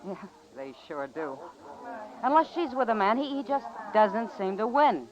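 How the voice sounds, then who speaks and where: A woman's voice: mid-range voice, big pitch swings, unhurried, a little hiss or hum. An actress with an American accent playing an easygoing, worldly saloon woman in a radio western, chatty and familiar. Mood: gossipy, frank. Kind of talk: old-time radio western acting. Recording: muffled old-time radio recording.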